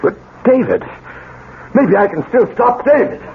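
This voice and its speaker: A man's voice: very high-pitched, sing-song, unhurried, exaggerated voice. An actor playing a guilty, agitated middle-aged professor and father in a 1970s radio drama. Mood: panicked, pleading. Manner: agitated, stammering, protesting. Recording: muffled, noisy old radio recording.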